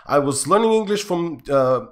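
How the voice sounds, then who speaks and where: A young man from Bulgaria, a Bulgarian native speaker, telling a rambling gaming story in casual English full of fillers and restarts. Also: moderate pace. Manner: rambling, many fillers and restarts, lively swings in pitch and loudness. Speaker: storytime YouTuber.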